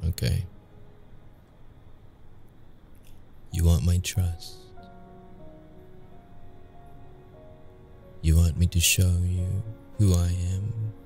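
A deep-voiced, brooding male vampire, acted by a man for an ASMR roleplay, wounded yet seductive. He speaks in a dark, mellow tone with heavy gravel, keeping it intimate and guarded. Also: steady tone, faint music behind. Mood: coaxing, intimate. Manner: slow, halting, intimate delivery. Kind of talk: ASMR audio roleplay acting.

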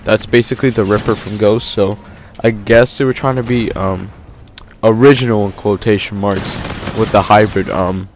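A man's voice: on the low side, steady tone, moderate pace, a little hiss or hum. Rambling young man reviewing a game. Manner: rambling, hesitant, many fillers and restarts. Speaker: gaming YouTuber. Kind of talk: casual game review over gameplay.

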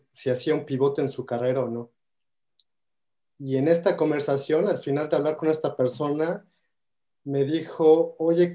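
A man in his thirties with a Mexican accent, giving an earnest toast on a video call through a headset. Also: fairly high pitch. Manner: earnest, heartfelt, warm thanks. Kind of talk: spoken toast at an online awards event. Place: home room on a video call.

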